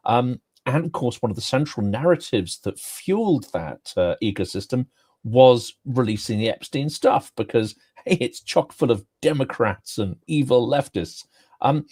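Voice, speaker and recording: Male host, low voice, dry close sound, wry and measured delivery. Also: darkish tone, no room sound. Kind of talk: casual political talk.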